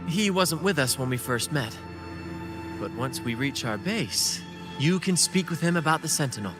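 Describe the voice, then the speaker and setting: An actor playing a well-spoken young man who leads a travelling troupe in a video game, speaking in a measured, theatrical, articulate way. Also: fairly high pitch, lively intonation, natural voice. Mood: warm resolve. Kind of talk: scripted video game story dialogue. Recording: game music behind the voice. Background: music.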